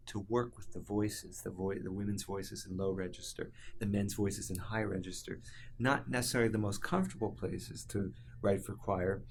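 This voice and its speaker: A man's voice: on the low side, slight background noise. A man giving a reflective, explanatory interview as a composer talking about his music.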